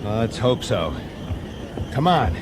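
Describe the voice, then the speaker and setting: An actor playing a gruff, wary police detective in a radio drama, talking in a blunt, colloquial, urgent way. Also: male voice, medium pitch, very animated, slow pace, music in the background. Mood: urgent, tense. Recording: noisy old radio drama soundtrack.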